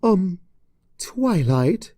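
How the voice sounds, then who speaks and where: A reader voicing a concerned, polite female pony schoolteacher with a gentle, hesitant manner in a storybook reading. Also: mid-range voice, very animated, very slow, no room sound, clean studio sound, pitched above the performer's own voice, heavily acted. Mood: worried. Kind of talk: storybook character voice in fan fiction reading.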